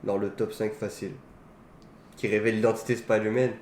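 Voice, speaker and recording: A man's voice: fairly low pitch, level tone, fairly quick. A man co-hosting a casual talk show about films. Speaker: co-host of a film talk show. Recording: roomy echo, faint background noise.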